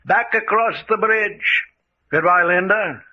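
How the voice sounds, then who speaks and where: A man playing a courteous, coldly commanding villain who gives formal, measured orders in a radio drama. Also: high-pitched. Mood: coldly polite. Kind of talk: old-time radio drama acting. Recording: dull, noisy vintage-style radio sound.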